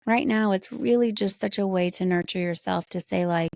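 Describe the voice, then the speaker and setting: An American woman chats candidly as a podcast guest. Her mid-pitched voice carries plenty of vocal fry, and the sound is dry and close. She is animated and often slips into little acted-out quotes. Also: normal speed, no echo. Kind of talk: casual conversational interview.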